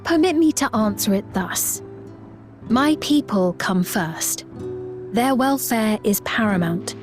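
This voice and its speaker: A regal game queen, formal n composed, higher voice, music under it. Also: lively intonation. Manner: formal, measured, regal diction. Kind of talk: scripted video game cutscene acting.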